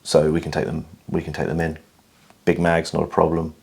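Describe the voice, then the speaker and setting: British guy with a really low voice, dry matter-of-fact chat, lots of ums. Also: monotone, fairly quick, vocal fry, a little mellow, slightly rough. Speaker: host. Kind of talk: casual conversational Q&A.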